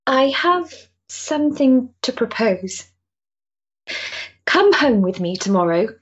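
A woman's voice: on the high side, sing-song, takes it slowly, slightly rough, highs cut off, full character voice. An actress playing an earnest, kindly country lady of the Victorian era, genteel and coaxing, in a period stage drama. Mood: earnest, inviting. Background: music.

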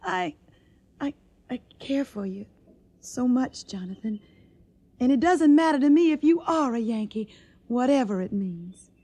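A high, girlish voice opens up: a young Southern woman in love, tender and anxious. She speaks with open feeling in the manner of radio drama. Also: animated, measured pace, pauses often, warm tone, heavily acted. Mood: tender, pleading.

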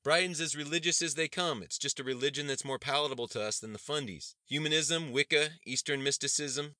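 A man voicing a quick-witted young man posing as a streetwise radical, bold and slangy, in audiobook dialogue. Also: lowish voice, pushed and bright, no room sound, clean studio sound, voice pushed up. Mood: passionate, persuasive.

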